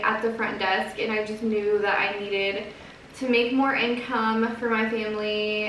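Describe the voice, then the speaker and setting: A woman in her thirties, a home vlogger and mom, chatting casually in a soft, quiet, gentle voice. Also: mid-range voice, slight background noise. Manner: gentle, earnest, chatty with fillers. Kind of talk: casual chat to camera. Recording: very echoey room, from a distance.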